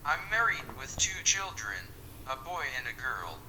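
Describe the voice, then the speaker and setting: A man's voice: medium pitch, big pitch swings, bright, forceful tone. Egyptian man in his thirties, noisy room. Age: early 30s. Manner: reads aloud in a slow, careful recital. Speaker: Quran teacher. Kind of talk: scripted mock job interview practice.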